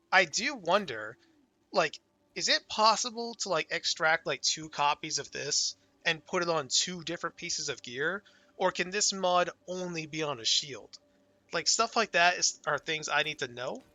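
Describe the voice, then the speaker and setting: Bright, dry-voiced gamer with a fading voice. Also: male voice, on the high side, no room sound. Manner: laid-back, enthusiastic, swears casually. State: voice going hoarse from illness. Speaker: gaming channel host. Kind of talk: casual gameplay commentary.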